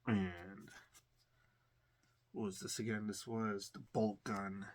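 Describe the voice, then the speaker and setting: A man's voice: fairly low pitch, takes it slowly. A man hosting an unboxing video, talking casually with lots of fillers and restarts and a slight cough. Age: adult. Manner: relaxed, rambling, many fillers and restarts. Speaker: unboxing channel host.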